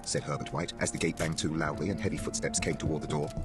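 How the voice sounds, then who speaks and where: A man's voice: a bass voice, fairly even intonation, fast talker, music in the background. A man narrating a story as an audiobook narrator, in a dramatic scripted reading style.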